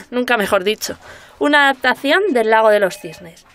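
Inquisitive high-voiced woman journalist. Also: lively intonation, moderate pace, fairly bright tone. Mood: focused recounting. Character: inquisitive, excitable woman journalist investigating a murder. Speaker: voice actor in a radio serial. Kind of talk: naturalistic Spanish radio drama dialogue.

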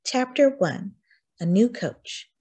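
A woman reading a children's storybook aloud in an expressive, acted-out way. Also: fairly high pitch, takes it slowly, slightly rough. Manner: expressive, acts out dialogue. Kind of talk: animated scripted storybook narration.

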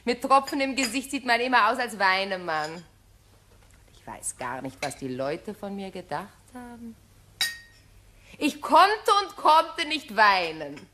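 An actress playing a brisk, bossy new widow with a dry, irreverent manner in a 1950s radio drama. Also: female voice, medium pitch, sing-song, pushed and bright. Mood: flippant, dry. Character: newly widowed shop owner, brisk, cool, irreverent lady. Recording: faintly noisy 1958 radio recording.

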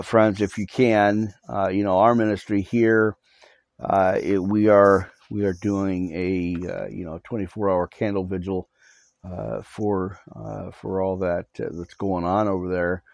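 A man with a somewhat low voice leads a live Bible study from a small home studio, recorded on his cell phone. He talks in halting bursts full of fillers and restarts, then presses listeners earnestly to repent. The sound is dry and close, with little room echo. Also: a little mellow. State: recovering from losing his voice. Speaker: pastor. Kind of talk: live podcast Bible study and prayer update.

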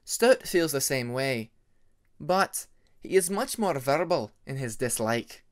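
A man voicing a reserved, proud young female dragon student who speaks formally and in measured sentences. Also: mid-range voice, animated. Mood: explaining, proud. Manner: formal, measured, uncontracted speech.

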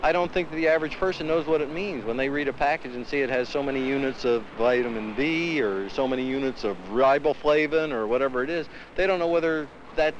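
A man's voice: mid-range voice, brisk, few pauses. Rambling man in a street interview. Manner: rambling, searching for words. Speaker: shopper interviewed. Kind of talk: casual interview. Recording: lo-fi 1970s film sound, faint street noise.

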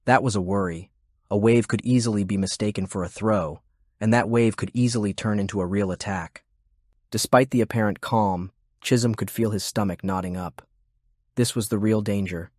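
A man's voice: on the low side, steady tone, normal speed, darkish tone, no room sound, clean studio sound. A man reading scripted fiction as an audiobook narrator.

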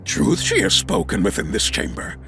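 A man voicing an ancient, wise little alien master with a croaky voice, slow measured speech and inverted word order, in an audio drama. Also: on the low side, huge pitch swings, heavily acted. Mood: grave, musing. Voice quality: croaky, gravelly. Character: ancient small wise alien Jedi master, male, calm. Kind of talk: fan audio drama acting. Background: loud music.

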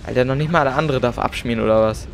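A man's voice: medium pitch, rapid-fire, vocal fry, faint music behind. A young man casually commenting on his own gameplay, chatty and joking. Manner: chatty, joking, mixes in playful English. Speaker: let's play gamer.

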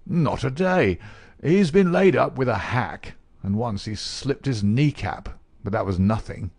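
A man's voice: mid-range voice, animated, a little slow, vocal fry, darkish tone. A man reading aloud, voicing a hearty, earnest young male athlete who answers bluntly and eagerly. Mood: emphatic.

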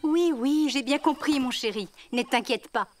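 A woman voicing an affectionate, cheerful grown-up female bear in a preschool cartoon, gentle and expressive. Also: high voice, moderate pace, natural voice. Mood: delighted. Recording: music under the voice.

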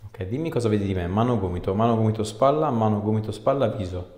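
Male chiropractor, low voice, informal and encouraging, recorded in an echoey treatment room. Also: fast, darkish tone. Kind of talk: casual clinical chat and instructions to a patient. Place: small indoor clinic treatment room.

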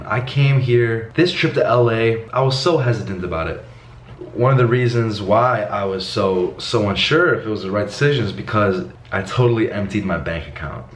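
A young man whose first language is Croatian, speaking earnestly and encouragingly to his phone camera in a small bedroom. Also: lowish voice. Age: young adult. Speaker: fitness vlogger. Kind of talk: talking to camera, motivational. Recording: roomy echo, faint background noise.

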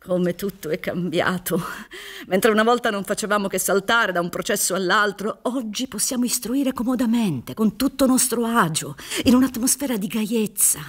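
A woman's voice: mid-range voice, normal speed, natural voice. A middle-aged woman playing a theatrical, insinuating prosecutor in a radio drama, with a rhetorical, declamatory delivery. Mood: solemn, rapturous. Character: prosecutor in a mock trial, theatrical, gleefully insinuating retired official. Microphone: studio microphone on stage, headphones. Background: music.

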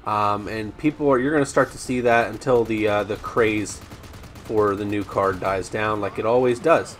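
A man commentating over his own gameplay, chatty and rambling with ums and restarts, his voice slightly raspy today. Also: fairly low pitch, fairly even intonation, moderate pace, slight background noise. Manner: rambling, frequent ums and restarts, addresses viewers as guys. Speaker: gaming YouTuber.

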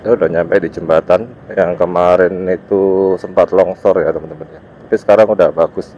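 A man with a very low, mellow voice and an East Javanese accent, stuffed up with a cold. He chats casually to viewers in short loose phrases while riding a motorbike. The sound is dull, with vehicle noise behind him. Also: steady tone, normal speed. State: congested with a cold. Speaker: motovlogger. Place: outdoors, riding a motorbike on village roads. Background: vehicle and road noise.

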